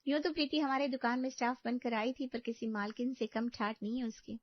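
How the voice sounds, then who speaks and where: A woman's voice: a little high, steady tone, fairly quick, dry recording, lightly acted. A woman voicing a gossipy young shop employee. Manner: chatty, insinuating. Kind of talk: cartoon story dubbing. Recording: dry dull lo-fi studio.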